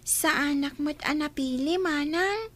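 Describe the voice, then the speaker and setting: A woman's voice: high voice, unhurried, a bit bright. A woman playing a sweet, polite, eager young girl in an Ilocano drama. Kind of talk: Ilocano radio-style drama acting.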